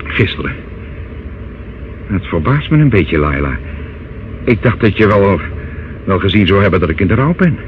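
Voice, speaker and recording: An actor in a Dutch radio play, playing a self-satisfied, menacing older uncle who wheedles and talks down in a hesitant, smooth way. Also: male voice, low-pitched, big pitch swings, moderate pace, pauses often. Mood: feigned grief. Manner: wheedling, hesitant, condescending, calls listener child. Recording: dull, noisy old radio recording.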